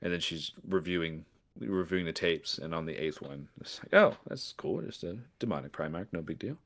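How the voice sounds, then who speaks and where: A man co-hosting a podcast in casual chat, talking in halting bits full of filler words. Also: low voice, animated, vocal fry, fairly bright tone. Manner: halting, filler-heavy, frequent "like". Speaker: podcast co-host.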